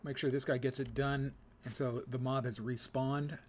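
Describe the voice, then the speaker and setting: Male game streamer, casual play-by-play, thinks aloud in a mutter, clear background noise. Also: mid-range voice, level tone, normal speed. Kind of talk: casual live gameplay commentary.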